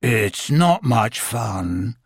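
A mournful old donkey, as a man puts him on, sighing out each word slowly in a deep, heavy voice. He sounds close and dry, with pitch that still rises and falls. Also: sing-song, vocal fry, slightly rough, no room sound, exaggerated voice. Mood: glum. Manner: slow, low, sighing delivery. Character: gloomy old male donkey, slow and mournful.

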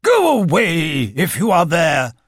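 A man's voice: fairly high pitch, big pitch swings, slow, gravelly, breathy edge, dry recording, clean studio sound, full character voice. A man voicing a pompous, blustering owl in a storybook, indignant and easily rattled. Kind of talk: storybook character voice.

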